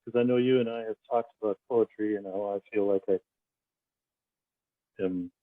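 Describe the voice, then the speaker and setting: A man's voice: lowish voice, fairly even intonation, normal speed, no echo. A man giving a casual, thoughtful comment as an audience member at a book discussion. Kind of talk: casual, thoughtful comment with a read-aloud passage. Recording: thin phone-like call audio.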